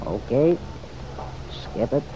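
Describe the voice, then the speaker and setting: A man playing a persistent, easygoing American police detective who needles in unhurried slang, in an old-time radio drama. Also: medium pitch, expressive, warm tone. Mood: wry, probing. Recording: muffled, noisy vintage radio recording.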